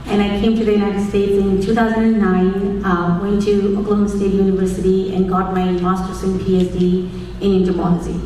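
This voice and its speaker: A woman, giving a measured conference talk as an entomologist, often pausing with "um". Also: mid-range voice, dark tone. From Nepal. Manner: measured, many "um" pauses, friendly and explanatory. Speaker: extension entomologist speaking at a conference. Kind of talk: conference presentation. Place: large conference hall. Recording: echoey hall, voice from far off mic, faint noise.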